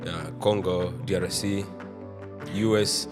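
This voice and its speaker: Host, male, lowish voice, halting, lots of "yeah yeah", faint music bed. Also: steady tone. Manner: halting, repeats "yeah, yeah", many short backchannels. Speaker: interview host. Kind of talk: casual interview.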